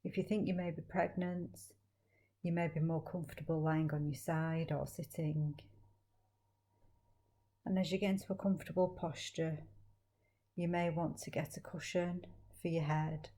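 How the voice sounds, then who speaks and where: Low, mellow woman guiding a meditation. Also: steady tone. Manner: slow, gentle, soothing instruction with long pauses. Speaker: mindfulness meditation teacher. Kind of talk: guided meditation.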